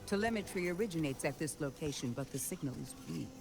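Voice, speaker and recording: A man playing a polite, composed companion in a science fiction game cutscene, speaking in a measured, formal way. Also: a little high, lively intonation, creaky, over music. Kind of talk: video game cutscene dialogue.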